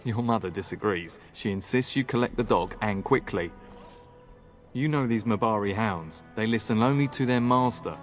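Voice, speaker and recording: An actor playing an earnest, eager and courteous young knight in a fantasy video game. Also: male voice, on the low side, moderate pace, faint music behind, natural voice. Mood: polite, wry. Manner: polite, boyishly earnest.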